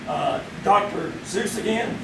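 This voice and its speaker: A man's voice: sits high, gravelly, very noisy, heavily acted. An actor playing Mike, a dry, sarcastic middle-aged father who teases his daughter's boyfriend, in a live stage radio drama. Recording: echoey theater sound from a distant mic.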